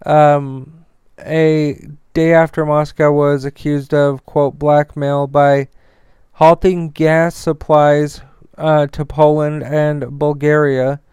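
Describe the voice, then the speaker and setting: A man presents informal podcast news and sponsor reads in a smooth, very clean voice that sits a little high. He stutters and stumbles, and his melody stays quite even throughout. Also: dry recording, studio quality. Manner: halting, stumbling delivery. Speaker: podcast host.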